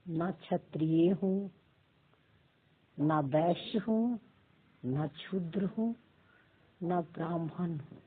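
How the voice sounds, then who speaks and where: Very slow, husky woman guiding meditation. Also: fairly low pitch. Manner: slow, deliberate phrases with long pauses. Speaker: meditation guide. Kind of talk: guided meditation. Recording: muffled narrow phone-like audio.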